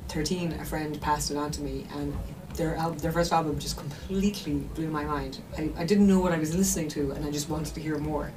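A woman's voice: deep voice, steady tone, brisk, hardly any pauses. An Irish woman musician telling stories in an interview with enthusiasm and detail, over a video call. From Ireland. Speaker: musician guest. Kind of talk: interview conversation. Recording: distant mic with background noise.

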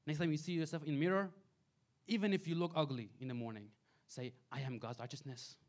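A male pastor preaches in a small church sanctuary, holding a handheld mic. His voice sits a little high for a man, and he moves between forceful, raised emphasis and quiet, dropped asides. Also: fairly even intonation, a little fast. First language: Farsi. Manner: preaching with wide swings between loud emphasis and soft asides. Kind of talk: sermon.

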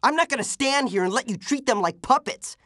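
A man's voice: very high voice, animated, a little fast, sharp tone, no echo, clean studio sound, full character voice. A man voicing a plucky, wisecracking teenage half-ghost boy superhero with a youthful voice for a video game. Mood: defiant. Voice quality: youthful, boyish. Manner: exclamatory, wisecracking. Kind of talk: video game character voice clips.

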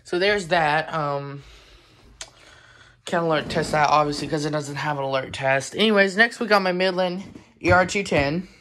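Someone doing a casual halting show and tell at home on a phone, bit of noise and clicking. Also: medium pitch, a bit bright. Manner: informal, halting, off-the-cuff. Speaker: collector presenting weather radios. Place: indoors in a home room. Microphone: handheld phone camera. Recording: noisy handheld recording with clicks.

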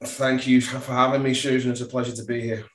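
A man's voice: medium pitch, little pitch movement, fairly quick, fairly bright tone. A man, telling his story as a podcast guest. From Merseyside, northwest England. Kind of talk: relaxed personal storytelling. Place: indoor living room, seated back from the screen. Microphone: video call. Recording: roomy echoing video call.

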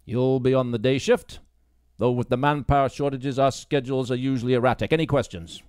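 A man's voice: medium pitch, fairly even intonation, fairly quick, a little mellow, clear, resonant tone, no echo, studio quality, lightly acted. A man voicing a brusque, condescending male police sergeant in an audiobook. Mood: brisk, commanding. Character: gruff, dismissive male police sergeant, short, Glaswegian, brusque.

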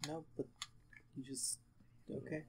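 A man's voice: fairly high pitch, flat delivery, unhurried, slight background noise. A young man making casual remarks as he watches a friend play a video game. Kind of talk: casual chat over gameplay.

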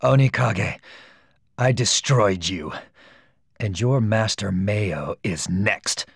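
An actor playing a grave, determined ninja hero in a video game cutscene. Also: male voice, on the low side, takes it slowly, vocal fry, husky, no echo, studio quality, heavily acted. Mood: defiant. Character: stern, resolute male ninja hero.

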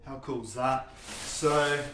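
A man speaks at an average pitch, presenting a product in an informal, enthusiastic way. He explains things casually, as a showroom host would. The recording is echoey, with the sound of a reverberant indoor room around his voice. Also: fairly even intonation, slow pace, a bit bright, slight background noise. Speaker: home cinema showroom presenter. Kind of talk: casual product unboxing commentary.

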